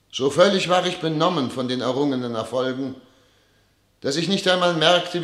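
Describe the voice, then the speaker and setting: A man's voice: a little high, a bit bright, exaggerated voice. An actor playing a solemn, disillusioned ruler who addresses his ministers in a grand, rhetorical radio drama style. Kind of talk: theatrical radio drama oratory. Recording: echoey hall, reverberant studio radio drama.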